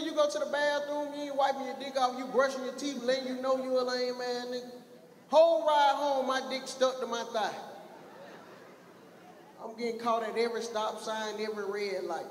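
A young man doing a profane stand-up set, roasting the crowd and shouting punchlines into a handheld mic on a theater stage. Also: very high voice, slight background noise. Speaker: stand-up comedian. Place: large theater stage with an audience. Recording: echoey hall with faint crowd ambience.

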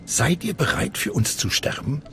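A man playing an ominous older cult master in a video game, with a hushed, menacing intensity. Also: mid-range voice, sing-song, moderate pace, gravelly, noisy background. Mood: ominous, probing. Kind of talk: dramatic video game voice acting in German.

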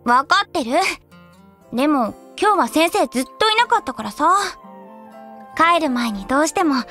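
A woman's voice: high voice, big pitch swings, normal speed, bright, forceful tone, faint music behind, heavily acted. A woman playing a playful, teasing teenage schoolgirl with a crush in an anime audio drama. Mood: teasing, affectionate.

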